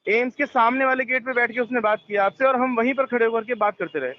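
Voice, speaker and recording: A man's voice: extremely high pitch, fast talker, a little hiss or hum. A man in a tense phone conversation, explaining himself insistently and repeating his points. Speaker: resort manager on a phone call. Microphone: telephone. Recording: muffled lo-fi recorded phone call.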